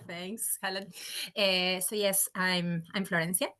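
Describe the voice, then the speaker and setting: A woman's voice: mid-range voice, steady tone, slow, pushed and bright. A middle-aged woman from Argentina, a native Spanish speaker with an Argentine accent, speaking informally as a guest doctor on a webinar. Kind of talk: informal self-introduction on a webinar.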